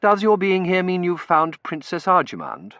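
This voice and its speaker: A narrator voicing a kindly, sensible young woman with a quiet, cultured and polite manner in a storybook reading. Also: mid-range voice, moderate pace, gravelly, no echo, pitched above the performer's own voice.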